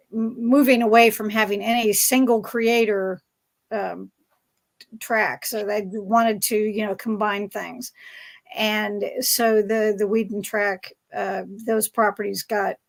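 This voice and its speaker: A woman's voice: medium pitch, steady tone, slow. A woman moderating a fan panel discussion in a conversational way.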